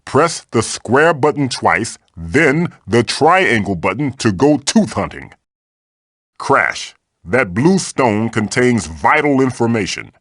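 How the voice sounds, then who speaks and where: A man's voice: medium pitch, big pitch swings, slow pace, fairly bright tone, no echo, clean studio sound, heavily acted. A man voicing an old magical mask, a bossy, wisecracking mentor guiding the player in a video game with theatrical, wry delivery. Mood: instructive.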